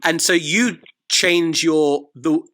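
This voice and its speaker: Man, interviewer, short acknowledgements, bright voice, unhurried. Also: a little high, steady tone, a little hiss or hum. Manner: short acknowledgements, quiet laughs. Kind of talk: interview questions, brief reactions.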